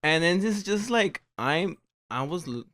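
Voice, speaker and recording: A man's voice: sits high, lively intonation, unhurried, fairly bright tone, slight background noise. A man co-hosting a podcast with a Southern Californian accent, chatting casually and rambling through a story while laughing at it. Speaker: podcast co-host. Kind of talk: casual chat.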